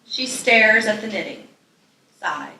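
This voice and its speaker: Bright-voiced woman reading stage directions. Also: mid-range voice, lively intonation, slow. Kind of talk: scripted narration at live table read. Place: live festival stage reading. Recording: echoey room, distant mic, noisy.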